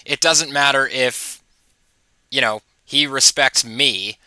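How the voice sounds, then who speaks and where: A man delivers casual opinion commentary to his viewers in a very bright, forceful voice. He is informal and mocking, dropping in amused asides. The sound is dry and close. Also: mid-range voice, slow, no room sound. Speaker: host of political commentary channel.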